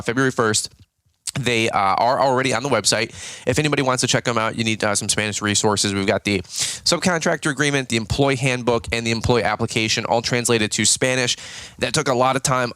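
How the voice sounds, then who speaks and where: A man hosting his own podcast in a casual, chatty way, a bit raspy and tired, with many fillers and restarts. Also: mid-range voice, a bit bright, no room sound, clean studio sound. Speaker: podcast host. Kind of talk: casual solo podcast talk.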